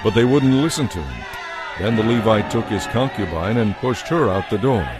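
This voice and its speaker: Low, expressive male narrator. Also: normal speed, music in the background, crowd noise. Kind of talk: scripted dramatic Bible narration.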